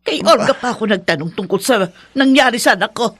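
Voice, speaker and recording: A bitter middle-aged widow in a radio drama. Her voice sits fairly high and swings sharply up and down as she scolds and accuses, never forgiving. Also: expressive, quick pace, fairly bright tone, husky. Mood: bitter, accusing. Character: bitter, unforgiving widowed mother-in-law, quick to blame.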